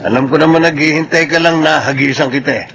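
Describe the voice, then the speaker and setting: An actor playing a boastful, newly rich trucking boss in a radio drama, cocky and jovial, with a Filipino accent. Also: male voice, a little high, fast talker, vocal fry, a bit bright, a little hiss or hum. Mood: jovial, teasing.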